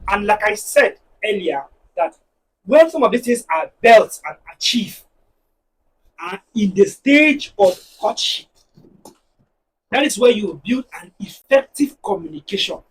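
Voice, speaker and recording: A man with a Nigerian accent, a married guest on a discussion show, talking in an animated, preachy way and telling stories to make his points. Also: high-pitched, unhurried, recorded from a distance, slight background noise, low-quality recording. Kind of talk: casual panel discussion.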